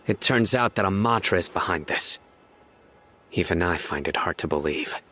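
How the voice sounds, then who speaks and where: An actor playing a stern, composed young male investigator in a video game, speaking in a measured, formal, serious way. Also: low-pitched, fairly bright tone, soft background music. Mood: grave, businesslike.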